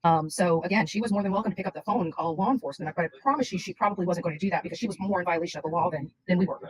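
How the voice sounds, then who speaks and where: Woman, casual streamer type, raspy and extremely rapid talker, insistent and blunt. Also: on the low side, dry recording, poor audio. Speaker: livestreamer. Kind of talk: casual livestream chat.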